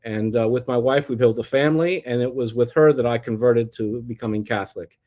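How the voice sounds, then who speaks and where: A man's voice: medium pitch, steady tone, fairly quick, warm tone. A middle-aged man from the United States, telling his life story as an interview guest in a rambling way, with many restarts and fillers. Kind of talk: casual personal storytelling.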